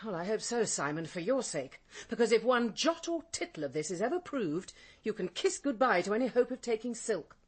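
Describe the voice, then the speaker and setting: A woman's voice: a little high, very animated, fairly quick, breathy edge, no echo. An actress with an English accent playing a middle-aged, stern female barrister and cold mother in a radio drama, articulate, caustic and controlled. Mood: accusing, threatening.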